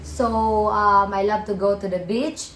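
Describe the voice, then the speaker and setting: A woman's voice: mid-range voice, steady tone, unhurried, a bit bright, roomy sound, a little hiss or hum. A woman in her twenties from the Philippines, chatting casually and giggly, with loose non-native phrasing. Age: 20s. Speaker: vlogger's partner. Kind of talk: casual to-camera chat.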